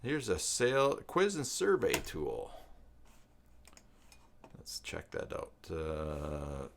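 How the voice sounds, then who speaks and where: Low-voiced man with swinging, animated pitch. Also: creaky, a little hiss or hum. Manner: halting, rambling, thinking aloud. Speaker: live stream host. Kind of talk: casual live stream chat, partly reading web pages aloud.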